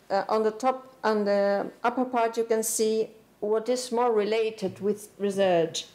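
A middle-aged woman giving an academic lecture, with halting, hesitant phrasing and frequent pauses. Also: medium pitch, darkish tone. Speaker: academic keynote speaker. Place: lecture room with green board, indoors.

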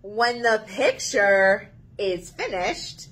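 A woman in her thirties leading a children's story time, reading aloud in an animated, sing-song way to young listeners. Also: medium pitch, very slow, breathy edge, low-quality recording. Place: small indoor room.

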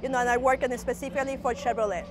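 A woman's voice: sits high, little pitch movement, rapid-fire. A woman, a program manager explaining her work in an interview. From Latin America. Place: outdoors at a racetrack. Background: music.

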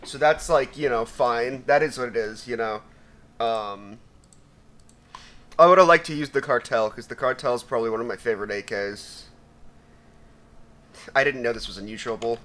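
A young man with a raspy voice giving casual, profane commentary while opening loot, swinging between mumbling and shouting. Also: medium pitch. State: raspy from sneezing. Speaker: gaming YouTuber.

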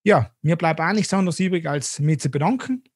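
A man in his thirties with a South Tyrolean German accent, hosting an interview over a video call from a small dim room, talking haltingly with many restarts. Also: fairly high pitch, lively intonation, slightly rough, no echo, clean studio sound. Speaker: host. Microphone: video call webcam.

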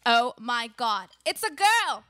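A woman's voice: fairly high pitch, very animated, takes it slowly, very noisy. A woman playing a cheerful, peacekeeping aunt at a family dinner in an amateur radio play. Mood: excited. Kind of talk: amateur live radio drama acting. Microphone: microphone on stand.

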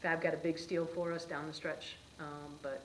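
A middle-aged woman, a head basketball coach, answering questions at a post-game press conference in a brisk, matter-of-fact way. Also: low voice, level tone, roomy sound, slight background noise, poor audio. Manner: brisk, matter-of-fact, with frequent "um" and "you know". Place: press room before a sponsor backdrop, seated at a table.

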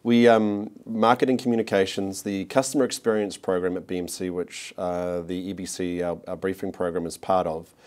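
A man in his forties speaks with a New Zealand accent in a very deep, low voice. He answers questions in a corporate interview, pausing with fillers and restarting his sentences often. He is recorded seated on a studio set with a clip-on mic. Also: fairly even intonation, darkish tone. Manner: hesitant, many restarts and fillers. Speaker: marketing executive.

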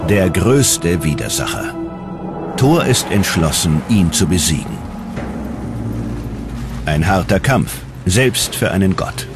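A man narrating a documentary from a script, with dramatic, measured storytelling. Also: sits low, big pitch swings. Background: music.

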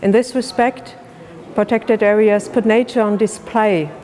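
A woman's voice: medium pitch, fairly even intonation, measured pace, dark tone. A woman in her thirties giving a formal explanatory statement to camera.

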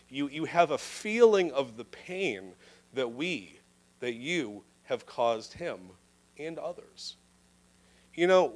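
Pastor guy in his 30s preaching, handheld mic, slows way down on the big words. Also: mid-range voice. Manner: earnest, emphatic preaching with slow stressed phrases. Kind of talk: livestreamed sermon. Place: indoor church room. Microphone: handheld microphone.

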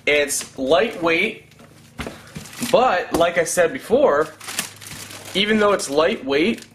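A man's voice: on the high side, lively intonation, slow pace, a little hiss or hum. A man in his thirties doing a casual product unboxing review, talking as a reviewer at home. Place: room at home.

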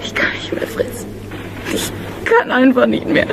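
A woman's voice: sits high, very animated, unhurried, creaky, in a whisper, exaggerated voice. An actress playing an emotional, devoted young woman in love in a German radio drama. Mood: despairing.

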